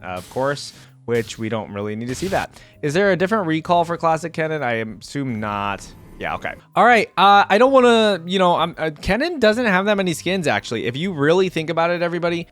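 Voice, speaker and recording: Male gaming reactor, medium pitch. Informal, off-the-cuff commentary, rambling and thinking aloud. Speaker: video creator reacting to game characters.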